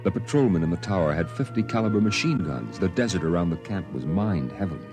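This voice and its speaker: A sober grown man recalling his boyhood, in a 1950s radio drama. His very low voice tells the story in a steady, measured way, with music playing clearly behind him. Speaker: narrator.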